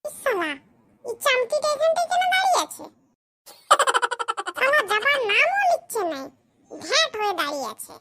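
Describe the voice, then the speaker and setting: A performer puts on a grumbling village boy from a comedy cartoon, with a very high, sharp, chipmunk-like voice. He mutters crude, self-pitying complaints in rustic slang, over faint noise. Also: sing-song, moderate pace, pauses often, heavily acted. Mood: irritated.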